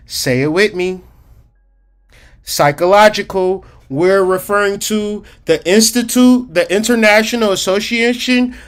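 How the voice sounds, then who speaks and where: A man hosting a casual livestream about psychology, streetwise and slangy, talking straight to his chat and slowing down to stress key points. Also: high-pitched. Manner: emphatic, slows down to stress points. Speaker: livestream host.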